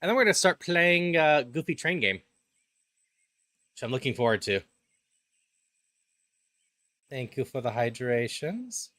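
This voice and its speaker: A man's voice: medium pitch, very animated, normal speed, bright, forceful tone, no room sound. A man who streams video games, chatting casually with his viewers. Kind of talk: casual livestream chat and game read-aloud. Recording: game music under the voice. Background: game music.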